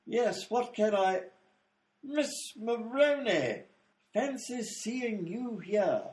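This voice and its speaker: A man playing a genial, flustered, old-fashioned gentleman with a polite, fussy manner in a comedic radio play. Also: high-pitched, lively intonation, drawn-out words, exaggerated voice.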